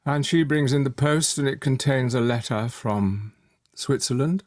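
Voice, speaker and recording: A man with a medium-pitched voice recounts a story. He goes slowly in measured phrases, in a dry recording. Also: darkish tone, dry recording.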